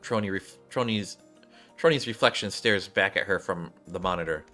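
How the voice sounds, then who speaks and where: A man with a fairly low but bright voice reads game cutscenes aloud like a narrator. He drops in casual asides and rereads lines he trips on. Game music plays behind him. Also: moderate pace. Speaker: gaming streamer reading story scenes aloud.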